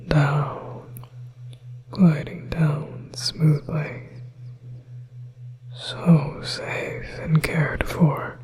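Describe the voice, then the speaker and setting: A woman playing a possessive, soothing female demon in an ASMR hypnosis roleplay, coaxing in a breathy, hushed whisper. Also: low-pitched, low-quality recording.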